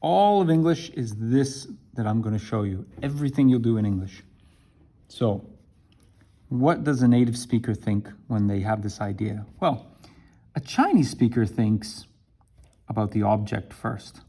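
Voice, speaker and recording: A man teaching an English lesson to camera, talking slowly and deliberately and checking in with "right?". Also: lowish voice, lively intonation, dark tone. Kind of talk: explaining a language lesson to camera.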